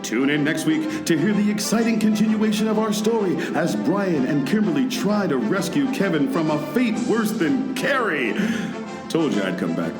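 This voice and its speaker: A man's voice: a little high, very animated, normal speed. A man playing a hammy, playful announcer for a mock old-time radio serial. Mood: playful, theatrical. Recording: roomy echo with music underneath.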